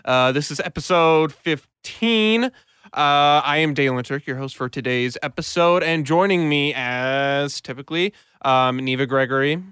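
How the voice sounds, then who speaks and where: A man's voice: on the high side, a little slow, a bit bright, smooth, no echo. A man hosting a podcast in a casual chat, upbeat and rambling, with many fillers, close to a studio mic. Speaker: podcast host.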